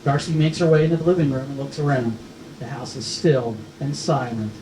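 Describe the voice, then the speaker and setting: A man's voice: medium pitch, fairly even intonation, brisk. A man reading stage directions as scripted narration at a screenplay table read. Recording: roomy, distant mic, faint noise.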